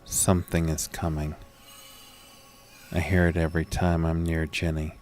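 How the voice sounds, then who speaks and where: Very deep, slow horror narrator, acted by a man. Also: monotone, a little mellow, soft background music. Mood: ominous. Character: haunted man recounting a horror, weary and uneasy. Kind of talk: scripted first-person horror audio drama narration.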